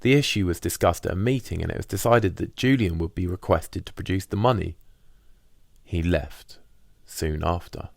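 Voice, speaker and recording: A man reads a diary as audiobook narration. His voice is very deep with a lot of fry in it. The sound is dry, with no room around it. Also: normal speed, dry recording. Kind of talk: scripted first-person diary narration.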